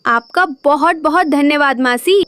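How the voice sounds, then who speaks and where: A woman's voice: high voice, unhurried, fairly bright tone, dry recording. A woman voicing an innocent little boy bird in a Hindi cartoon. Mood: delighted.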